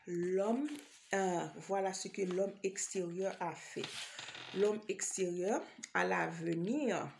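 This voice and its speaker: Gravelly Haitian woman preaching slowly. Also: medium pitch, a little mellow. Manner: hesitant, many fillers, repeats phrases; addresses listener directly. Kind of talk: informal sermon.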